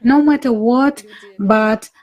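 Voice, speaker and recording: Female live interpreter, slightly high register, phrase-by-phrase halting delivery of personal talk. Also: darkish tone. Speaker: interpreter voicing forum speakers' words in English.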